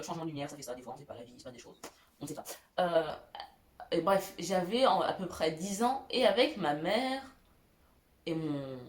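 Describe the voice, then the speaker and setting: A young woman telling funny childhood stories to her viewers, self-mocking, giggling and pausing on fillers. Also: fairly low pitch, reverberant. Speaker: YouTuber. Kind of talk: storytelling vlog.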